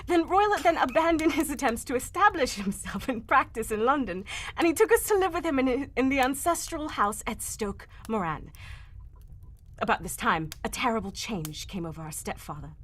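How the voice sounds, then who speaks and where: A woman playing an anxious young gentlewoman telling her family tragedy in a live old-time radio drama. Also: high voice, animated, normal speed, slight background noise. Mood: grave, troubled.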